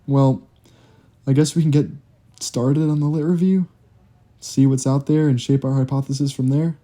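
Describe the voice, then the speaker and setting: Audio drama: young man as conscientious student, tense about work, very mellow timbre, natural delivery. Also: medium pitch, steady tone, moderate pace, lightly acted. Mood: businesslike, stressed. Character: diligent, stressed male college student.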